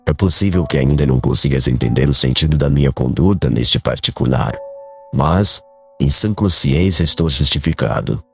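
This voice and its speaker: A man acts out a kindly old countryman in mourning, calm and dignified. The voice is extremely deep, with gentle, measured, formal phrasing. Soft music plays behind this audiobook drama. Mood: sorrowful, earnest. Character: grieving, calm, kindly old rural father.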